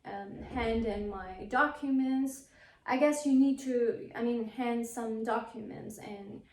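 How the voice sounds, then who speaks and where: A fairly high-pitched woman talks through a process in a casual vlog, halting and hunting for the right words. Her is Farsi. The sound is roomy and echoey, with the mic set some way off. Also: darkish tone. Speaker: vlogger co-host. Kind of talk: casual explainer chat. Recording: roomy echo, mic at a distance.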